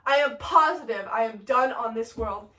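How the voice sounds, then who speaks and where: In an echoey room, away from the mic, a woman plays a cocky young man spoiling for a fight. The voice is high and loud, declaiming with slangy melodrama like homemade theatre. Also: moderate pace. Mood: wounded, bitter. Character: Mercutio, cocky taunting young male brawler, melodramatic when wounded. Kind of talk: amateur retelling of a stage tragedy. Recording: echoey room, distant microphone.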